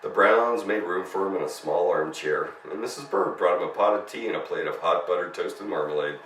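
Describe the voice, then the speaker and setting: A man's voice: sits low, a little fast, a bit bright. A man narrating a children's story as a storyteller, reading expressively. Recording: roomy, distant mic, faint noise.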